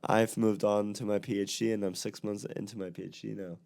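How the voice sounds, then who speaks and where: A young man co-hosts a casual podcast chat, his voice hoarse from a cold. His tone is gravelly and fry-heavy, dark and mellow, and it sits low. He talks in a chatty way, full of fillers and quick laughs. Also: little pitch movement. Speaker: podcast co-host.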